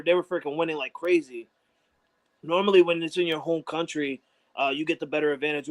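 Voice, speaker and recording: A man from New York co-hosting a sports talk show, talking in heated, profane banter. His voice sits a little high for a man. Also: rough recording. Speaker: co-host. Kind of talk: casual sports chat.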